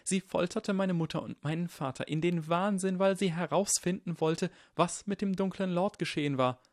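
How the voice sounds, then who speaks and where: A man voicing a shy, sincere schoolboy in an audiobook, speaking in an earnest, halting, plainspoken way. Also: high-pitched, dry recording, studio quality, pitched above the performer's own voice, lightly acted. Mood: shaken, insistent.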